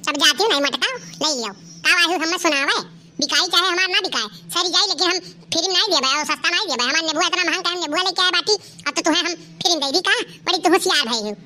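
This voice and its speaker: Woman voicing a high, sharp-tongued village vegetable seller. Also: quick pace, heavily acted. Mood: defensive. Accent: Awadhi. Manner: scolding, argumentative. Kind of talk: cartoon comedy dialogue.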